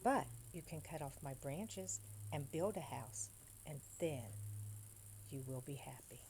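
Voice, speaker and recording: A selfless old female tree from a storybook, as a woman reader acts her out, speaks in a low, mellow voice. She is weary and giving, with soft, sighing, half-whispered words over faint background noise. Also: animated, unhurried. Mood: gentle, giving.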